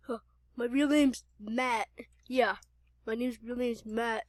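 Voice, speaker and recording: A woman's voice: on the high side, steady tone, slow, no room sound, clean studio sound. A woman playing a victim in an improvised amateur horror story, melodramatic and hesitant, repeating short phrases. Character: frightened victim, pleading, turning angry.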